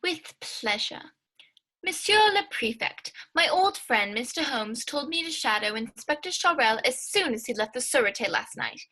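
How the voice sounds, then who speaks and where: Woman as loyal gentleman sidekick, high bright voice, earnest, modest, old radio drama acting. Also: expressive, moderate pace, full character voice, poor audio. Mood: eager, earnest. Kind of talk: 1940s radio play acting.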